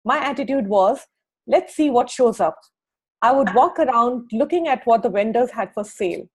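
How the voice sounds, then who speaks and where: A woman with a fairly high, husky voice co-hosts a book club. She reads passages aloud and breaks off now and then to comment informally. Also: animated, normal speed, poor audio.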